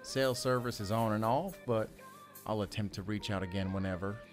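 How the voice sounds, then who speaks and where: An actor playing a wry young ranch-hand newcomer in an audio drama, rambling and hesitant in a folksy way. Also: male voice, fairly low pitch, moderate pace, soft background music, close to an everyday voice.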